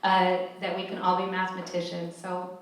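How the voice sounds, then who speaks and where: A woman's voice: on the low side, monotone, normal speed. Earnest woman panelist in an echoey hall. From California, USA. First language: Spanish. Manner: earnest and heartfelt, many restarts. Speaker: panelist, math educator. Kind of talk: panel discussion. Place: large echoey event hall. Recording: echoey hall, mic far off.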